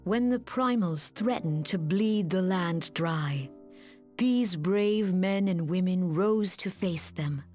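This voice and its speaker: A serene, grave mystic leader from a fantasy game. She speaks very slowly and formally in measured, archaic phrases, with music playing behind her. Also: lowish voice, a little mellow. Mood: solemn reverence. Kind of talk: scripted fantasy game cutscene acting.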